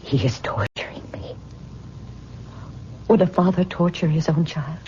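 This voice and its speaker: An actress playing a frightened young fiancée tormented by a spell, in a melodramatic, pleading old-time radio style. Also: female voice, deep voice, a little slow, darkish tone. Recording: muffled, noisy old radio recording.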